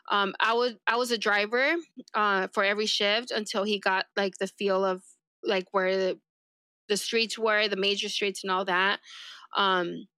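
A woman's voice: medium pitch, sharp tone, clean tone, no room sound. A woman whose first language is Spanish, telling her story casually as a police deputy guest on a podcast.

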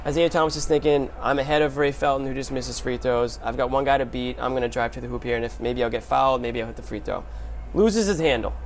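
A man hosting a sports show, recapping basketball in a casual, animated storytelling way with emphatic short phrases. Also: mid-range voice, fast, gravelly, few pauses, slight background noise.

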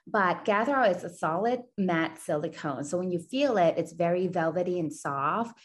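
Woman from Thailand, a founder and guest; low voice, earnest, steady. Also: moderate pace. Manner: earnest, steady, many "you know" fillers. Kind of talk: podcast interview.

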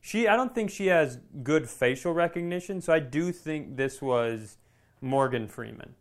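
A man with a slightly high voice chats as a comedy podcast co-host. He tells stories in an animated, self-deprecating way and swears freely as he goes. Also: a little slow. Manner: animated storytelling with profanity. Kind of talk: casual anecdotal chat.

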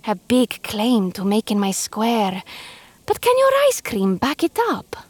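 A woman voicing a sly, smug male cat gelato boss, talking in a purring, mocking, drawn-out way. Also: mid-range voice, wildly swooping pitch, a little mellow, no echo. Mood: menacing.

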